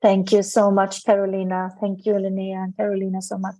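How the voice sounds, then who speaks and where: Woman hosting a video call, warm soft tone, dry sound, kinda halting. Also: mid-range voice, monotone, dry recording. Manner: halting, searching for words. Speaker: moderator. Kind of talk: moderating an online gathering.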